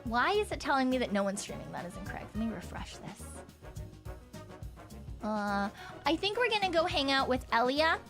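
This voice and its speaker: A chatty woman with a fairly high voice and a slight frog in her throat, rambling through a casual stream. She swears easily and laughs along between thoughts, with faint music playing under her. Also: sing-song, moderate pace, pauses often.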